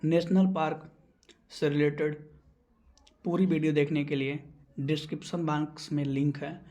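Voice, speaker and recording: A man's voice: a little high, little pitch movement, normal speed, mellow. A man teaching exam questions, explaining answers and repeating key terms so they stick.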